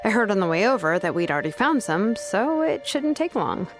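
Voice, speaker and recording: A woman's voice: medium pitch, very animated, fairly quick, over loud music. A woman voicing a curious, easily flustered young woman in a video game. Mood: upbeat. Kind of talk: video game character acting.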